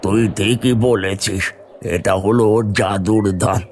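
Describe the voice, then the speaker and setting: A man voicing a poor, gentle old grandfather in a fairy-tale cartoon, pleading and kindly in manner. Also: on the low side, fairly even intonation, slow pace, a little hiss or hum. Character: hungry, grateful old grandfather, gentle and mysterious. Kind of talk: animated fairy-tale dialogue.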